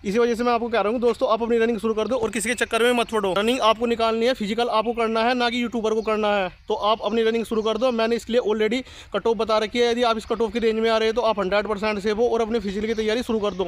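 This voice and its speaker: A man's voice: high-pitched, level tone, fast talker, hardly any pauses. A man, hosting a study channel and giving informal, insistent advice straight to his viewers, often repeating his urging. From rural India. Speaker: YouTube study channel host. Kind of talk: informal advice to exam aspirants, addressed to viewers.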